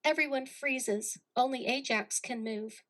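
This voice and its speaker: A woman narrates a play by reading its stage directions. Her voice is a little higher than average. The delivery is scripted narration. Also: unhurried, fairly bright tone.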